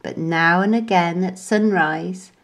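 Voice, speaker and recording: A woman reading a children's bedtime story aloud in an expressive, deliberate storyteller's manner. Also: lowish voice. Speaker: storybook reader.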